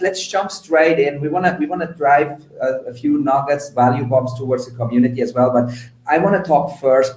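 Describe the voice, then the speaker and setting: A man's voice: mid-range voice, darkish tone. Echoey male live-stream host, effusive. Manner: effusive, repeats words for emphasis. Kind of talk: enthusiastic, informal live interview hosting.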